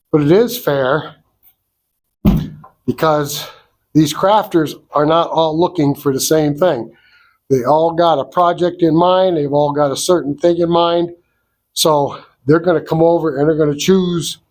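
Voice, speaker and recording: Congested, slow-talking sawmill host. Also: male voice, on the high side, a little mellow, no room sound. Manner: slow, folksy, down-to-earth explaining. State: congested from a cold. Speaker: sawmill owner and channel host. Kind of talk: casual outdoor vlog walkthrough. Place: wood shed at a sawmill.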